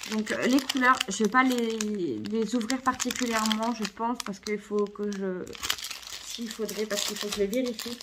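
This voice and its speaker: A woman's voice: mid-range voice, normal speed, very noisy. A woman with a cold chatting casually through a craft unboxing at home, hesitant, with many fillers and self-corrections. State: has a cold. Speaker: craft vlogger.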